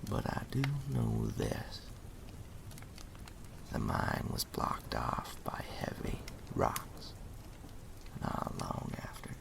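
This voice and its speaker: Sinister ghost storyteller played by a man: extremely low, gravelly voice, folksy menace, spooky ASMR roleplay. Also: huge pitch swings, full character voice. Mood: ominous storytelling. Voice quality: breathy, gravelly whisper. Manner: slow, deliberate, hushed, folksy and menacing. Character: sinister ghost of a murdered right-hand man, spinning a spooky tale. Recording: reverberant, cavernous echo.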